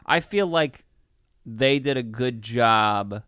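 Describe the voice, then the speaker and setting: A man co-hosting a podcast in casual chat, opinionated and halting, often repeating himself as he goes. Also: medium pitch, animated, fairly bright tone, clean tone, no room sound, studio quality. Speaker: podcast co-host. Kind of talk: casual movie review chat.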